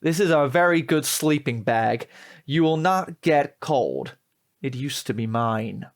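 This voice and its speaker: A man playing a prim, smugly polite young replacement son in a comic audio drama, formal, measured and reproachful. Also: mid-range voice, lively intonation.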